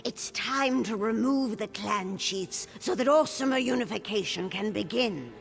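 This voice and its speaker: Gravelly, scheming old orc matriarch. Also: female voice, medium pitch. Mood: cold resolve. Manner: measured, mocking, menacing. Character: scheming older orc queen mother, cold and condescending. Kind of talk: fantasy video game voice acting. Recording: music playing clearly behind the voice.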